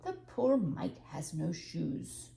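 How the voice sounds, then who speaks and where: Woman reading as a mellow, kindly old male shoemaker. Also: sits low, huge pitch swings, takes it slowly, vocal fry, heavily acted. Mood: tender. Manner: gentle, soft-spoken. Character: kindly elderly widowed male shoemaker, gentle and wistful. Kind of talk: storybook character reading. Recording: roomy echo, faint background noise.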